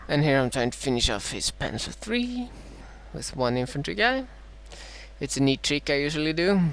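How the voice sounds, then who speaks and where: A man from Sweden with a Swedish accent and a dry throat, casting his own strategy game match in a halting way, full of "uh"s and self-corrections. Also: a little high, sing-song, creaky, a bit bright, slight background noise. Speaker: gameplay commentator.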